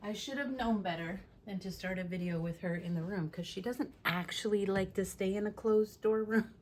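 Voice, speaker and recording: Raspy-voiced woman chatting through a craft tutorial. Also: medium pitch, moderate pace, darkish tone. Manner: chatty, apologetic asides while working. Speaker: scrapbooking tutorial host. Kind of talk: casual crafting tutorial talk. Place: home craft room. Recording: faint room noise from fan. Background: fan running.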